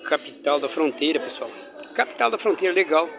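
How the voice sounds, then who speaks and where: A man's voice: a little high, lively intonation, fairly quick, vocal fry, over music. A man talking casually and cheerfully to his camera while walking around an indoor farmers' market, chatting with vendors and children. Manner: cheerful, chatty, religious exclamations. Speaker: travel vlogger. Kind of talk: casual vlog chat.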